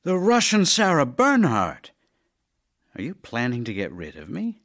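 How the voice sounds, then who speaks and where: A man's voice: mid-range voice, huge pitch swings, a little slow, fairly bright tone, heavily acted. A man playing a devoted, anxious writer husband in an audio drama of love letters, with a theatrical, yearning delivery. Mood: tender, teasing.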